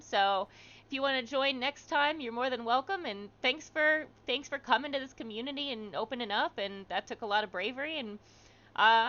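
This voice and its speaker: A woman's voice: high-pitched, bright, forceful tone, highs cut off. A woman hosting a livestream, chatting casually and encouragingly with viewers and reading their comments aloud. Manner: encouraging, supportive. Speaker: livestream host.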